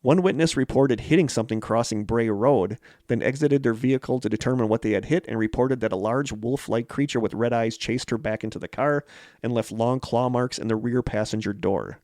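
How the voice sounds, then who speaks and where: A man's voice: mid-range voice, brisk, a little mellow, no echo, studio quality. A man from Wisconsin with an American accent, co-hosting a podcast and moving between casual chat and reading out prepared notes. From Wisconsin, United States. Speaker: podcast co-host.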